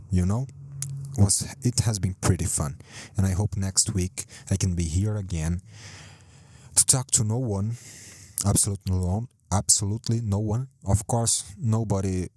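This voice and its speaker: A man's voice: fairly low pitch, darkish tone, no room sound. A man hosting a solo podcast from a home setup, rambling and self-deprecating, swearing often and repeating himself, speaking close to the mic. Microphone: close home mic, sometimes too close.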